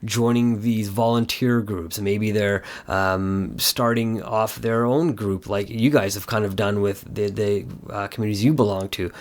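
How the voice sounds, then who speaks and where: A man's voice: fairly low pitch, fairly even intonation, unhurried, few pauses. A man co-hosting a podcast, talking casually and earnestly in a hesitant, rambling way full of "you know". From Canada. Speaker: podcast co-host.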